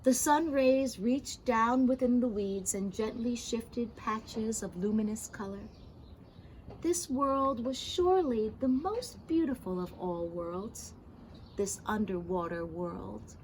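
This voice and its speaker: A woman with a high voice reads a children's storybook aloud. Her delivery is expressive and very unhurried, with gentle commentary for young listeners. Faint noise runs behind her voice. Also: poor audio. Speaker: storybook reader.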